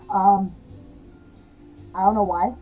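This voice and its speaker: A woman talking casually to camera as a witchcraft vlogger, chatty and rambling with frequent fillers and soft laughs, her voice slightly hoarse and gravelly. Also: mid-range voice, muffled recording.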